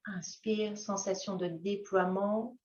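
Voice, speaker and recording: A woman yoga teacher guiding breathing exercises in a gentle manner, with long pauses and drawn-out phrases. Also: medium pitch, fairly even intonation. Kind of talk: guided yoga breathing instruction. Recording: echoey room, slightly dull sound.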